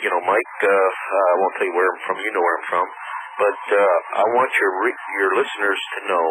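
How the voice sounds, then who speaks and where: A middle-aged man phoning in to a radio show, talking in a halting, earnest way. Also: low voice, fairly even intonation. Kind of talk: unscripted phone-in conversation. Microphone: telephone. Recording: noisy phone call over shortwave radio.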